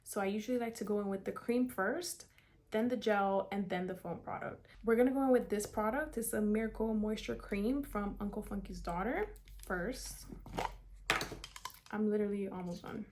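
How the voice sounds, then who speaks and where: A woman's voice: mid-range voice, moderate pace, darkish tone. A woman in her twenties from South Florida, chatting casually through a hair tutorial with many "like" fillers. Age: 20s. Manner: chatty, upbeat, lots of "like" and "kinda". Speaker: beauty vlogger.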